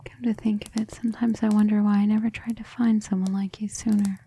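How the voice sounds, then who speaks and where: A woman playing a centuries-old vampire lover in an intimate ASMR roleplay, breathy and often near a whisper, speaking with refined, devoted affection. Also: medium pitch, little pitch movement, brisk, dark tone, close to an everyday voice. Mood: wistful, confiding. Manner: affectionate, refined, hesitant musing.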